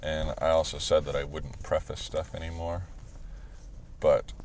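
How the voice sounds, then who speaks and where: Late-thirties male co-host, very deep voice, deadpan sarcasm, slight noise on the line. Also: measured pace. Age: late 30s. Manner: dry, sarcastic. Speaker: podcast co-host. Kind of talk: casual chat.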